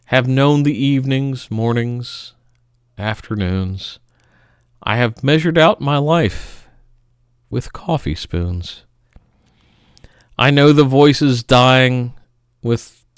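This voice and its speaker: Slow, theatrical man reciting poetry. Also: mid-range voice, smooth, no echo, clean studio sound. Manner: theatrical, with dramatic pauses and emphatic swells falling to hushed line endings. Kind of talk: melodramatic poetry reading.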